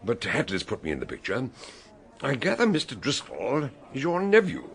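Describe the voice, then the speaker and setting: A man playing an urbane, genial and inquisitive British gentleman detective with a wry, expansive delivery in a radio drama. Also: medium pitch, huge pitch swings, moderate pace, vocal fry. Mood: jovial, wry. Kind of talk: radio drama acting and first-person narration.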